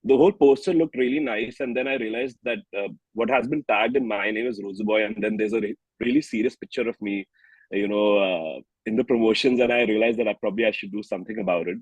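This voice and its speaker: A man's voice: lowish voice, fast talker, slightly rough, dry recording, highs cut off. A man in his early thirties with an Indian accent, chatting informally as a singer-songwriter in an interview. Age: early 30s. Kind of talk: casual interview chat.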